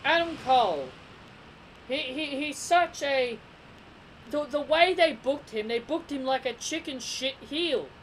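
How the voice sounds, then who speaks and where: A young man giving a casual wrestling review from his bedroom, excitable and repeating himself with stammering restarts, recorded on a desk webcam. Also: very high-pitched, a little slow. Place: small bedroom. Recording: noisy home webcam recording.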